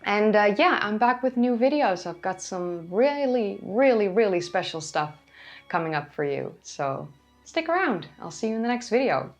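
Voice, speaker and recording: A woman hosting a history channel, giving scripted educational narration in a raspy, hoarse voice after being ill. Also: mid-range voice, sing-song, normal speed, faint music behind. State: hoarse from recent illness.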